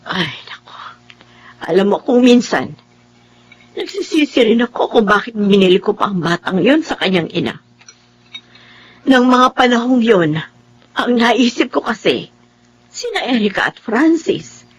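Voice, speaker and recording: A woman's voice: a little high, big pitch swings, a little slow, pauses often, slight background noise. Earnest, regretful older Filipino woman. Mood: regretful, worried. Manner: sighing, earnest. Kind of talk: Filipino radio drama acting.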